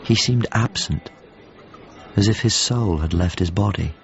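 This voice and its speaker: A deep, gravelly, solemn narrator. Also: male voice, dull audio. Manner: measured, solemn, descriptive. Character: grave male narrator recalling paintings and past events. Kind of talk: literary radio drama narration.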